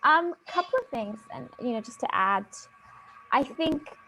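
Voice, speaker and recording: Gracious higher-voiced woman panelist. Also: expressive, measured pace, bright, forceful tone, slight background noise, low-quality recording. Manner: gracious, with fillers. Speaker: panelist, doctor. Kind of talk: panel discussion remarks.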